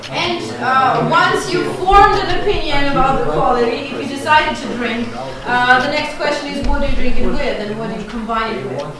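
A woman's voice: high voice, normal speed, few pauses. A woman guiding a brandy tasting, explaining patiently with small hesitations. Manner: polished, explanatory, hesitant fillers. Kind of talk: guided tasting talk. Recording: echoey hall, far mic, crowd.

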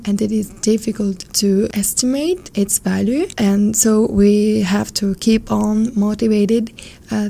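A woman with a northern Italian accent, a volunteer psychologist answering interview questions in a measured, earnest way, Italian being her first language. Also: mid-range voice, gravelly, warm tone. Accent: Italian, from around Turin. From northern Italy. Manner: measured, earnest, careful non-native phrasing.

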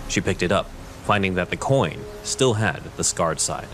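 A man's voice: deep voice, expressive, normal speed, noisy background. A man reading scripted dramatic narration as the narrator of an audio drama. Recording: prominent music under narration.

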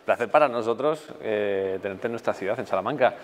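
Brief: thirties male host, low voice, conversational interview questions, lapel mic. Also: fairly quick. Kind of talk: hosting a TV magazine interview. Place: indoor interview set.

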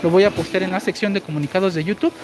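A man in his forties with a high, girlish-sounding voice, chatting through a live store walkthrough and reading viewer comments. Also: racing. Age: 40s. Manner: chatty, explains deals while walking. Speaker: shopping deals streamer. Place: large indoor supermarket. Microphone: phone held while walking. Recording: noisy store ambience.

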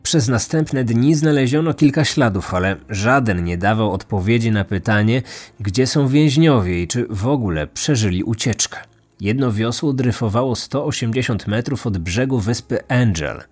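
A man's voice: medium pitch, lively intonation, normal speed, slightly rough. A man reading scripted true crime narration as a podcast host.

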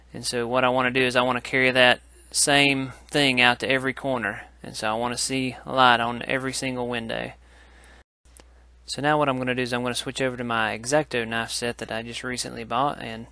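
A man hosts a casual how-to tutorial, explaining in an instructional way. His voice sits at an ordinary male pitch with a bright, forward edge. The sound is dry, with very little room in it. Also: no room sound. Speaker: woodcarving tutorial host.

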